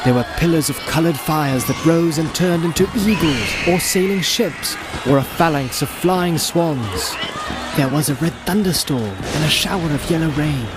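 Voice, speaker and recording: A man reading a story as an audiobook narrator, theatrical and deliberate in his phrasing, in a dramatic storytelling style. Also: fairly high pitch, lively intonation, background noise. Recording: home-made, music and crowd sound mixed in. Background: music, crowd and outdoor sound effects.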